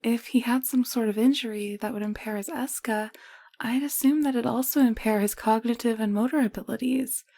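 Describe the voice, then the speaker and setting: A woman playing a caring, level-headed alien medic in an intimate audio roleplay, speaking gently and explaining things. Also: on the high side, fairly even intonation, a little fast, no echo. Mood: thoughtful, explaining. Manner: gentle, explanatory, hushed at times.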